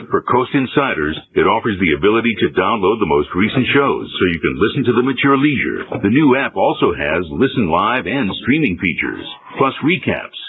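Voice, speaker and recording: A man with a low voice reads a radio promo in an upbeat, animated way. Faint hiss sits under him. The sound is narrow, like a phone line. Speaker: announcer. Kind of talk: scripted radio promo read.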